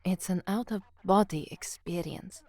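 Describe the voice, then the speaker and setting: An actress playing a young newsreader in a science fiction audio drama, weary and anxious. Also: female voice, fairly low pitch, measured pace, a little mellow, no room sound. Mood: weary, introspective. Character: young slum-born newsreader, anxious, weary, hard-drinking narrator. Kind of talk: audio drama first-person narration and newsreading.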